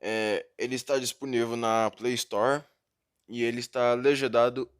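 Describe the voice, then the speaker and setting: A man with a Brazilian accent, hosting a gameplay video in a casual, informal, slightly halting way. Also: mid-range voice, flat delivery, smooth. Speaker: gaming video host.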